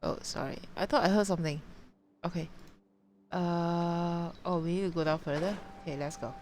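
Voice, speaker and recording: A woman's voice: fairly low pitch, steady tone, moderate pace, darkish tone, a little hiss or hum. A woman livestreaming a video game, casually talking to herself in short reactions as she plays. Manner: talks to self in short reactions. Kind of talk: casual live gameplay commentary. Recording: game music behind. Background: video game music.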